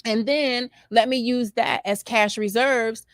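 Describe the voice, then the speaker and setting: A woman's voice: on the high side, fairly even intonation, slow pace, no echo, studio quality. An American woman hosting a money advice talk, speaking conversationally with emphatic, encouraging delivery and often acting out what people might say. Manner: emphatic, encouraging, acts out imagined remarks. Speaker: talk show host on real estate financing.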